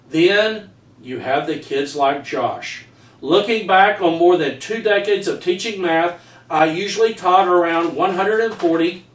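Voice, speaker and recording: Higher-voiced man, echoey and distant. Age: middle-aged. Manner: earnest, stumbles over words while reading. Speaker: teacher and author reading aloud. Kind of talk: reading own true story aloud for educators. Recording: echoey room, distant microphone.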